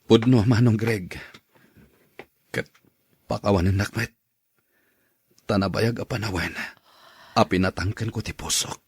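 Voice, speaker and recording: A man's voice: on the low side, a little slow, long pauses, husky, no echo, studio quality, exaggerated voice. A man with an Ilocano accent playing a stern, resentful son and father in a radio drama. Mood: remorseful.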